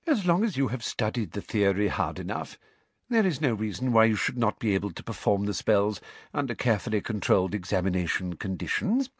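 A man's voice: medium pitch, huge pitch swings, slow pace, fairly bright tone, no room sound, voice pushed up, exaggerated voice. A man voicing a smug, sickly-sweet middle-aged female teacher from a storybook, with a honeyed trill and a precise, dismissive manner. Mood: coolly dismissive. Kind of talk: audiobook character voice.

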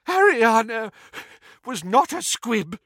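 A man voicing a spiteful, gossiping old witch with a shrill, cackling manner. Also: very animated, slow pace, sharp tone, no echo, studio quality, heavily acted. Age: older. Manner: cackling, needling, high-pitched.

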